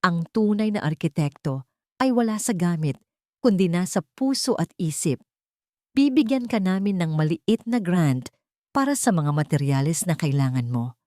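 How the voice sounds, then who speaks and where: A woman's voice: fairly low pitch, a little mellow, no room sound, clean studio sound, close to an everyday voice. A woman with a Filipino accent voicing a composed, encouraging female foundation official in an audiobook, speaking formally and earnestly. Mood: reassuring. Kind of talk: audiobook character dialogue.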